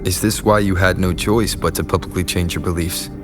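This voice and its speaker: A man voicing a calm, inquisitive young adventurer in a video game, with an earnest, questioning manner. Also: low-pitched, a little fast, lightly acted. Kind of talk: video game dialogue voice acting. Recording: game music behind the voice.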